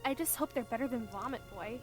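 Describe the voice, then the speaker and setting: A woman plays an excitable girlish student in an anime fan dub. Her voice sits high. It comes from played-back video with faint music behind it. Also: quick pace, lightly acted. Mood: wistful, bright.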